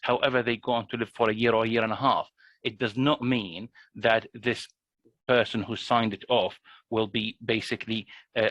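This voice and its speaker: Man, GP presenter, lower voice, hesitant and repetitive, dry sound. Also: fairly bright tone, no room sound, poor audio. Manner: hesitant, frequent restarts and fillers. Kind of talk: explanatory presentation. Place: online workshop.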